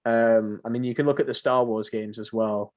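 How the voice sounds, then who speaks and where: A man's voice: medium pitch, fairly even intonation, normal speed, darkish tone. Young man, slightly muffled sound. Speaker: podcast co-host. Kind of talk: casual chat.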